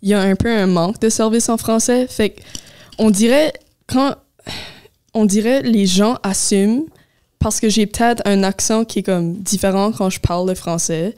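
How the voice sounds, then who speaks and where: A woman's voice: mid-range voice, steady tone, normal speed, darkish tone, smooth. Young Chiac-speaking woman from Moncton. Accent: Chiac Acadian French. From Moncton, New Brunswick. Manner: mixes English words into French, many fillers. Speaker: podcast co-host. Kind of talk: casual chat.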